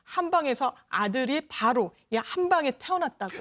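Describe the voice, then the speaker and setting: Woman panelist telling a story on a news show, voice a bit high. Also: lively intonation, moderate pace, a bit bright. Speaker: lawyer panelist. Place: TV studio.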